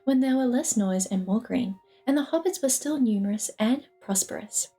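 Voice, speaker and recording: A woman's voice: a little high, lively intonation, normal speed, warm tone. A woman reading a novel aloud as storybook narration. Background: lofi music.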